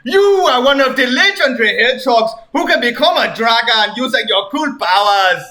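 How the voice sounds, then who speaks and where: A man's voice: very high-pitched, expressive, moderate pace, sharp tone, pitched above the performer's own voice, exaggerated voice. Man doing a pompous pharaoh king. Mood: proclaiming.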